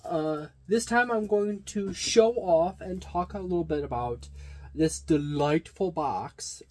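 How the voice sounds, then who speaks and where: A man with a voice a bit higher than most gives a casual, unscripted review. His delivery is halting and digressive, stopping and starting as he works through the details of a product. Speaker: tabletop gaming reviewer.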